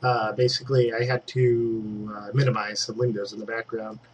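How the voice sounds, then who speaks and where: A man's voice: fairly low pitch, level tone, moderate pace, a little hiss or hum. A man with a congested throat giving a casual digital painting tutorial, thinking aloud as he works and dropping in fillers. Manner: chatty, thinks aloud while working, trailing fillers.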